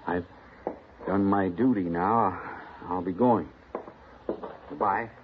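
Nervous low-voiced salesman, 1950s radio play, tinny with faint hiss. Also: slow pace. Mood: uneasy. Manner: rambling, nervous, oddly matter-of-fact. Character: odd, fussy traveling whiskey salesman, middle-aged man. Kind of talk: 1950s radio drama acting.

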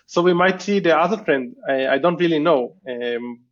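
A man's voice: fairly high pitch, moderate pace. Hesitant man with muffled lo-fi sound. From Israel. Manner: hesitant, thoughtful, many restarts and fillers. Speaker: guest entrepreneur. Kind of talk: casual interview chat.

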